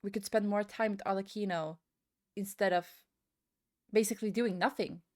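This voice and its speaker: A woman's voice: mid-range voice, steady tone, normal speed. A woman giving a casual, rambling opinion rant as a gaming video creator, often hesitating and repeating herself.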